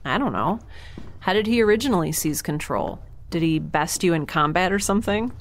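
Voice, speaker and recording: A woman voicing a blunt, sarcastic young scientist with a slightly lowish voice. She sounds dry and unimpressed. A light ambient hum sits behind her in the audio drama. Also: lively intonation, a little fast.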